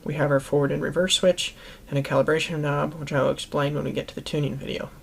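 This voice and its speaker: A man's voice: a little high, flat delivery, quick pace, creaky, slight background noise. A man hosting a how-to video, giving instructional narration to viewers. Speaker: host of a how-to product video series.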